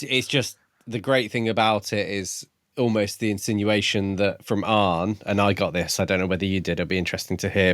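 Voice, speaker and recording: A man's voice: on the low side, fairly bright tone, dry recording, studio quality. A man co-hosting a podcast, discussing a book casually and thoughtfully, feeling out his ideas as he goes. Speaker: podcast co-host.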